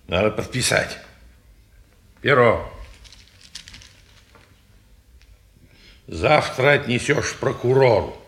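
An actor playing a flustered, sentimental old gentleman, pompous and stammering, in a 1950s Russian stage drama. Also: mid-range voice, gravelly, heavily acted. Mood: condescending. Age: older.

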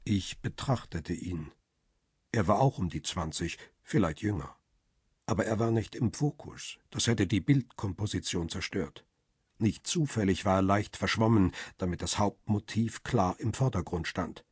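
An actor playing a world-weary, guarded paparazzo who narrates a radio crime drama in a measured, understated, husky way. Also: male voice, low voice, darkish tone, dry recording, studio quality, full character voice. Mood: pensive.

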